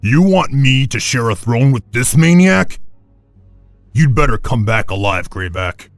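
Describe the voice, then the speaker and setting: Casting: sardonic game sidekick, wary clansman, mid-range voice, lively swings, dry studio sound. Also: medium pitch, measured pace, no echo, soft background music. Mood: wary, grumbling. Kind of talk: video game cutscene acting.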